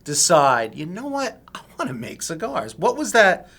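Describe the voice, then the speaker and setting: A man's voice: sits high, huge pitch swings, moderate pace, a bit bright, slightly rough. A male magazine editor hosting a casual interview, upbeat and chatty as he talks up his guest.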